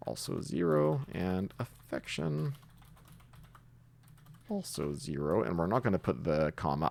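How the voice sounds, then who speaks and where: A man with a very low voice and plenty of vocal fry talks through a coding tutorial in a casual way. His intonation moves around a lot as he thinks aloud, explaining each step while he works. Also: no echo. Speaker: programming tutorial host.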